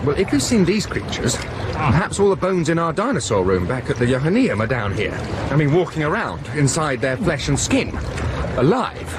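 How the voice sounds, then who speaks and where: An actor playing an earnest, excitable young nephew telling his adventure in a radio drama. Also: on the high side, moderate pace. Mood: earnest, curious. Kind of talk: radio drama narration and dialogue. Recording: noisy radio broadcast, sea effects.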